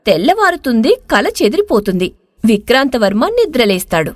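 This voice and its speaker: An expressive woman narrates a scripted moral tale. Her voice is high and very lively in intonation, with a dry, close sound. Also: brisk, no echo. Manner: expressive storytelling. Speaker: story narrator.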